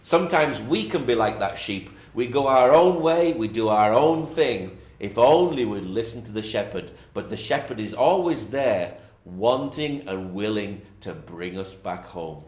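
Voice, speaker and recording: Animated man telling a children's story, echoey. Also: fairly low pitch, unhurried. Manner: repetitive, sing-song storytelling phrasing. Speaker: bedtime story narrator.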